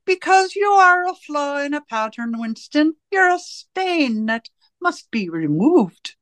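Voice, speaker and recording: A woman playing a cold, domineering interrogator in a radio drama, speaking in deliberate, commanding, rhetorical questions and pronouncements. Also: high-pitched, big pitch swings, a bit bright, dry recording, full character voice. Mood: controlled, imperious. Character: cold, domineering party interrogator and torturer, righteous and controlling. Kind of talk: theatrical radio drama acting.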